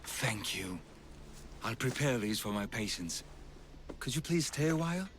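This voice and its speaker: An actor playing a kind, earnest village physician weighed down by worry, in a video game cutscene. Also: male voice, mid-range voice, lively intonation, measured pace, fairly bright tone, a little hiss or hum. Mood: calm, friendly.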